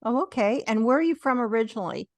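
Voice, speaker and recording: A woman's voice: fairly high pitch, animated, fast, darkish tone, no room sound. A woman hosting a podcast, introducing a guest and asking friendly, encouraging questions. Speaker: podcast host. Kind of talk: podcast interview.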